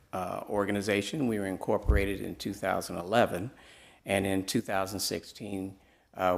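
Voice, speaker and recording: Soft-spoken low gravelly man presenting. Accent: Midwestern American. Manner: soft-spoken, many uh fillers. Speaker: downtown nonprofit presenter. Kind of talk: public presentation at council meeting. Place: city council chamber. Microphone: podium microphone.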